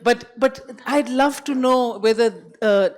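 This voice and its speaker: A woman's voice: fairly high pitch, takes it slowly. A woman, an artist in the audience, asking a long, winding question from the floor with emphatic enthusiasm.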